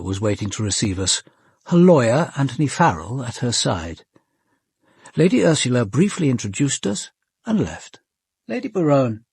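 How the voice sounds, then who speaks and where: Husky British police detective. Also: male voice, mid-range voice, a little mellow, no room sound, heavily acted. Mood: reflective, composed. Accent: British English. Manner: formal, measured, precise questioning. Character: male police commander, measured, probing, reserved yet compassionate detective. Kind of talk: radio drama dialogue and first-person narration.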